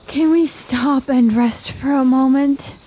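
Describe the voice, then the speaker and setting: A woman's voice: high voice, steady tone, extremely slow, warm tone, slight background noise. An actress playing a reluctant, comfort-loving woman traveler in a fantasy radio drama, fretful and plaintive. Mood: weary, pleading.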